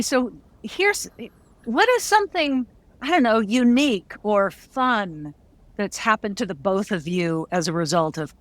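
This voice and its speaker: A woman's voice: lowish voice, no echo. A woman hosting a casual interview, chatty and thinking aloud as she goes. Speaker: podcast host interviewing guests.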